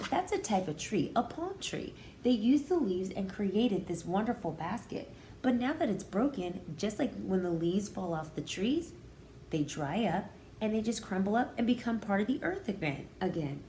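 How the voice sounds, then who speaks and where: A woman teacher reads a picture book to small children in a mellow voice with a very animated melody. She pauses to explain things in an expressive, instructive way. The room is audibly echoey. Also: mid-range voice. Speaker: pre-kindergarten teacher reading aloud. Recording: roomy indoor echo, faint background noise.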